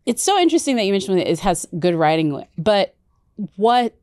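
A woman's voice: mid-range voice, very animated, a little fast, no echo. A woman hosting an interview, chatting casually, affirming her guest with lots of "yeah", on a podcast mic in a studio. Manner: warm, affirming, frequent "yeah" backchannel. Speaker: host. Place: dark studio set, seated in armchair. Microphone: podcast mic on boom arm.

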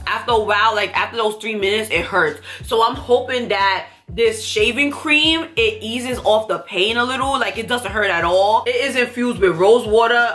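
A young woman with a congested, stuffy nose talks to the camera in a bright, forward voice. She gushes emphatically about her finds in a casual, chatty way. She is recorded at desk distance in a small room at home. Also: mid-range voice. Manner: chatty, emphatic, enthusiastic product talk. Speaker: beauty vlogger. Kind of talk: casual haul chat to camera.

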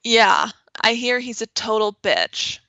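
Woman acting wisecracking investigator, brash, forceful, raised pitch, comedy audio play. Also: lively intonation, slow, gravelly, dull audio, heavily acted. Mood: wry. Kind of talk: comic audio drama.

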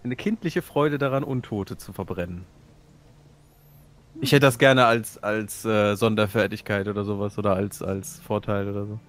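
A man's voice: lowish voice, moderate pace. A man playing a tabletop role-playing game, mixing casual chat with in-character lines.

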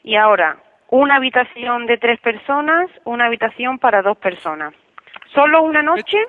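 A woman's voice: fairly high pitch, expressive, normal speed, dry recording. A woman working as a hotel receptionist, speaking firmly and matter-of-factly on a customer call. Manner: matter-of-fact, firm. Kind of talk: customer service phone call. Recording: muffled telephone line.

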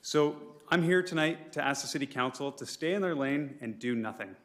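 A man's voice: medium pitch, lively intonation, moderate pace, a bit bright. A man delivering a formal prepared speech as an advocate at a public council meeting, pleading his case and sometimes stumbling over words. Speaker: public speaker for a property owners' association at a council meeting.

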